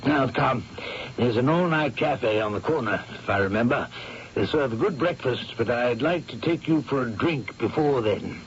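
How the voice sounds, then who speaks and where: An actor playing a painter's level-headed, admiring friend in a radio mystery drama, in a measured theatrical manner. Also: male voice, mid-range voice, expressive, close to an everyday voice. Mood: reassuring. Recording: muffled vintage radio broadcast, faint noise.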